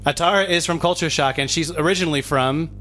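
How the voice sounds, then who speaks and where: A hoarse man hosting a podcast, chatting enthusiastically with guests into a handheld microphone indoors. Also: fairly high pitch, quick pace, sharp tone, faint music behind. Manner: enthusiastic, many fillers. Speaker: podcast host. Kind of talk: casual interview, hosting. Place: indoor gathering room.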